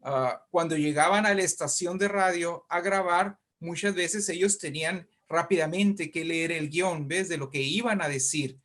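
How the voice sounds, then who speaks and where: A man's voice: fairly high pitch, normal speed, hardly any pauses, fairly bright tone. A man with a Latin American Spanish accent explaining things conversationally, often repeating words as he searches for the next. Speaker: writer discussing radio drama history.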